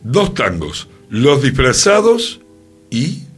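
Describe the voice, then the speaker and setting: Argentine guy on radio, real slow and theatrical, voice goes up and down a lot, soft music behind. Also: medium pitch, wildly swooping pitch, breathy edge. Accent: Argentine, Buenos Aires. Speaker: radio host. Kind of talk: radio talk on theatre history, reading quotes aloud. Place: radio studio. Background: faint music.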